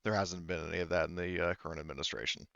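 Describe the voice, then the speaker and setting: A cautious old-hand prospector, voiced by a man at a game table, resigned and slow to commit. The voice is smooth and somewhat low, hesitating often, on a dry but dull recording. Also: level tone, fairly bright tone, no room sound. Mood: resigned, hedging. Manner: hesitant, searching for words. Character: cautious male veteran prospector, resigned, loyal to his job.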